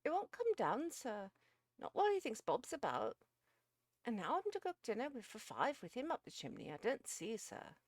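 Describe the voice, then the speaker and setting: A woman's voice: on the high side, huge pitch swings, normal speed, dry recording, pitched above the performer's own voice. A woman voicing a put-upon, philosophical female cook in a storybook, plaintive and resigned.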